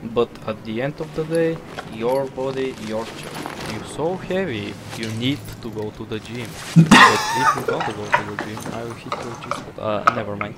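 A man's voice: mid-range voice, normal speed, heavy background noise, lightly acted. A man voicing a sleazy mad doctor monster in an amateur horror game dub, rambling with mock-polite menace.

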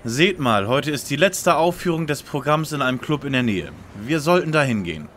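A man's voice: mid-range voice, lively intonation, fairly quick, fairly bright tone, slight background noise, natural voice. Guy as serious head kid detective in a parody audio drama, medium voice, very proper. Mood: focused. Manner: formal, precise. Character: earnest, formal, methodical boy detective leader. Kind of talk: German detective radio play parody.

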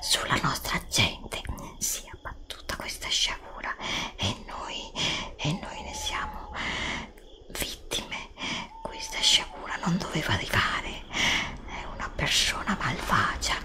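A woman playing a sorrowful fairytale princess who gives grave warnings in a hushed, solemn ASMR roleplay. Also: low-pitched, huge pitch swings, exaggerated voice. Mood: grave, urgent. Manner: slow, hushed, solemn, deliberate. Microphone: close USB studio microphone.

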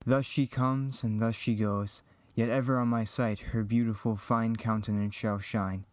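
A man's voice: lowish voice, flat delivery, unhurried, no echo, close to an everyday voice. A man playing an earnest, lovestruck young farm boy hero, declaiming verse in an amateur Shakespearean reading. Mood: resolved.

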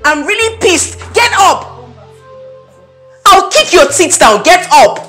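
A woman's voice: sits high, very animated, normal speed, sharp tone, reverberant, soft background music, heavily acted. An actress with a Nigerian accent playing a spoiled, short-tempered young madam in a screen drama, haughty and exasperated as she scolds. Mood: furious. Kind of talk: melodramatic screen drama acting.

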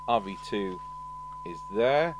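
Casting: male repair technician, dry step-by-step delivery, animated intonation, recorded with clear background hum. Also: fairly low pitch, slow, highs cut off. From United Kingdom. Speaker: host, radio repair technician. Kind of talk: hands-on repair commentary. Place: indoor electronics workbench. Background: steady hum and noise.

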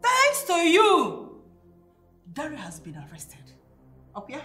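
A greedy, quarrelsome Nigerian mother in a melodrama. Her voice is high and very animated, with emphatic, confrontational delivery. It sounds in a roomy space with music behind it. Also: moderate pace. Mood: defiant, indignant. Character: domineering, greedy, quarrelsome mother of a grown daughter. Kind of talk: screen melodrama acting.

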